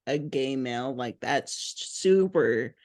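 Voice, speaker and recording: A man's voice: mid-range voice, lively intonation, takes it slowly, a little mellow, no room sound, clean studio sound. A man from Texas, interviewing a guest in a casual, enthusiastic way and saying "like" a lot. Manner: enthusiastic, filler-heavy with "like".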